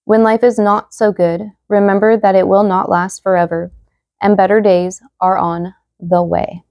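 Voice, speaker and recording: A woman's voice: mid-range voice, little pitch movement, normal speed, a little mellow, dry recording, studio quality. Woman tarot reader losing her voice. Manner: chatty, many fillers, reads card messages aloud. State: losing her voice, slightly hoarse. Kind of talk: casual tarot reading to viewers.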